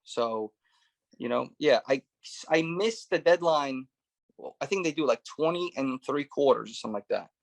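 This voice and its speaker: A man's voice: medium pitch, lively intonation, normal speed, creaky. A man in his thirties, born in Russia, telling his life story as a guest in a casual interview, with lots of "you know" and "right". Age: 30s. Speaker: guest, former police officer turned real estate investor.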